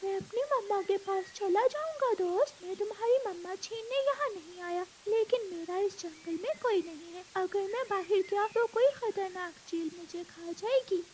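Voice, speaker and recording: A woman's voice: moderate pace, hardly any pauses, darkish tone, slight background noise, rough recording. A woman voicing a small, polite and brave baby boy bird in a cartoon, with a childlike, pleading way of speaking. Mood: hurt, earnest. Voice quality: high childlike voice.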